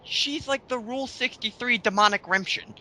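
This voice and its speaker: A man's voice: very high-pitched, moderate pace, sharp tone. A man ranting casually in a group commentary, scornful and exclamatory, swearing as he goes. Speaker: co-host of a mocking commentary.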